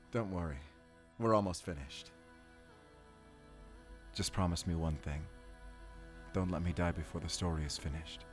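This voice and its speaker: A man acting a low-voiced, theatrical fairy-tale teller. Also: slow, long pauses, soft background music. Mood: soft, wistful. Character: man telling a fairy tale, fond and foreboding. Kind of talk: dramatic storytelling in a cinematic audio roleplay.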